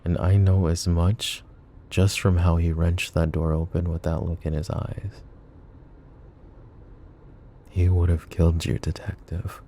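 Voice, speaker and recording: A man playing a possessive, protective mafia boss in an intimate ASMR roleplay, speaking in a hushed, deliberate, tender way that often dips toward a whisper. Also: deep voice, little pitch movement, slight background noise. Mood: calm, protective. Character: possessive, protective male mafia boss, smooth menacing devotion.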